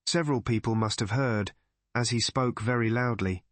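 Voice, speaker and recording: A man's voice: mid-range voice, normal speed, no echo, lightly acted. A man voicing a polite, earnest village vicar in an audiobook.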